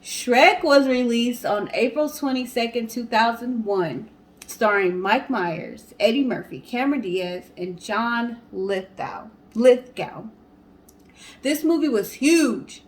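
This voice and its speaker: High-voiced woman telling stories casually. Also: lively intonation, slow. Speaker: co-host of a storytelling guessing show. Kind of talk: casual storytelling and chat to viewers.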